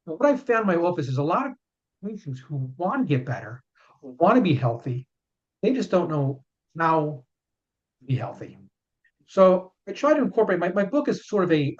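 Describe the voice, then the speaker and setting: A man in his fifties, a chiropractor and author, answering interview questions in an earnest way and often repeating words as he explains. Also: a little high. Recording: dull lo-fi sound.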